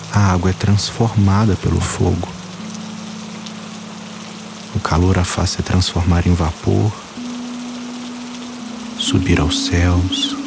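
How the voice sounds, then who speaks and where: A man guiding a meditation, speaking calmly and slowly in short phrases with long pauses. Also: low voice, vocal fry. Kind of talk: guided meditation. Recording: voice-over with music and water sounds. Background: soft music, rain and running water.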